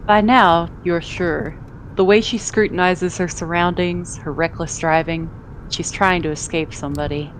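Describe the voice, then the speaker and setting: A woman's voice: lowish voice, steady tone, normal speed, a little hiss or hum, lightly acted, poor audio. A woman reading scripted second-person narration as the narrator of an amateur story dub.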